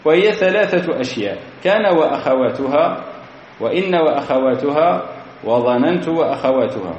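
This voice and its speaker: A man's voice: on the high side, animated, moderate pace, darkish tone, a little hiss or hum. A man giving a grammar lesson in a methodical way, repeating key phrases and quizzing his students. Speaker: grammar teacher. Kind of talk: classroom grammar lecture. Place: lecture hall. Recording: echoey hall, dull lo-fi recording.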